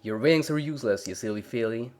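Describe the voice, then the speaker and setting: A man's voice: mid-range voice, a little fast, a little mellow, close to an everyday voice. Man as a placid, folksy big stallion. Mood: calm menace. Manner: placid, folksy, pleasant while threatening. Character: big placid male farm pony with quiet menace.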